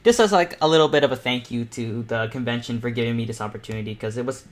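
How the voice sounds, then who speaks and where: A young man hosting an online art panel, chatting casually and excitably as he draws, thinking aloud. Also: medium pitch, fast talker. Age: teens or young adult. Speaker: host of an online painting panel. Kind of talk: casual chat while drawing live.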